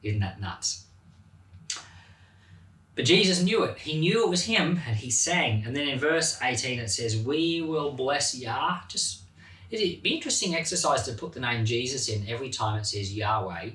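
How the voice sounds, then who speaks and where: Animated pastor in an echoey room. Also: male voice, mid-range voice, normal speed. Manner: animated, chatty, laughs at his own points. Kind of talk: Bible reading and informal teaching. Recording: roomy echo, mic at a distance.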